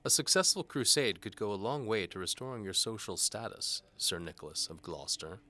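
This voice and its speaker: A man's voice: fairly low pitch, sing-song, fairly quick, bright, forceful tone, pitched above the performer's own voice. A man playing a zealous, pompous older medieval bishop who speaks in a didactic, commanding way in an audio drama. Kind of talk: audio drama character acting.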